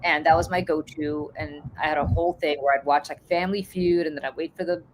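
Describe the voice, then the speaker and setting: A woman's voice: deep voice, fairly even intonation, fast, noisy background. A woman in her forties, originally from Russia, chatting casually as a podcast host and laughing through her stories. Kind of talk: casual chat.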